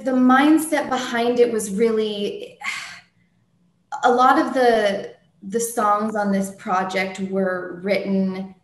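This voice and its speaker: A woman with a soft soprano voice answers interview questions slowly and thoughtfully, with frequent ums and restarts. Her speech sits at an ordinary pitch for a woman. The room gives it a noticeable echo. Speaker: singer-songwriter guest.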